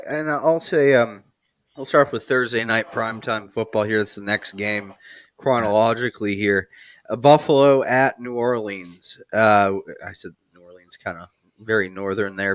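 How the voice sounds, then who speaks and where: A man's voice: lowish voice, fairly even intonation, moderate pace, no echo. A man from New England hosting a casual football discussion, rambling and rephrasing as he goes. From New England, United States. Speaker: sports show host.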